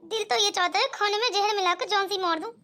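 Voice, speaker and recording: Lady doing a grumbly put-upon cartoon village wife, super high and bright. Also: fast talker. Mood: grumbling. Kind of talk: amateur cartoon comedy skit.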